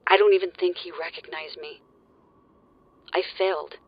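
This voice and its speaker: A weary, guilt-ridden mercenary. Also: male voice, high voice, steady tone, moderate pace, slightly rough, no room sound, lo-fi sound. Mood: regretful. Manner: terse, tired and stern.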